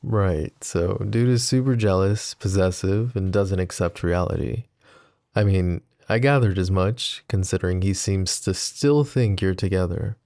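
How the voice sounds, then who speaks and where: A man playing a deep, slow-spoken mafia boss. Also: no room sound. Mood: candid. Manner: calm, confiding, casually profane. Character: possessive, protective adult male mafia boss. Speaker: voice actor. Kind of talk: boyfriend ASMR roleplay.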